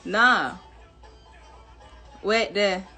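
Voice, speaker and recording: A woman's voice: mid-range voice, very slow, bright, forceful tone, soft background music. A woman from New York with a Jamaican-American accent, talking candidly and emphatically to viewers, repeating herself and laughing between points. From New York, Jamaican family. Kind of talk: candid personal video monologue.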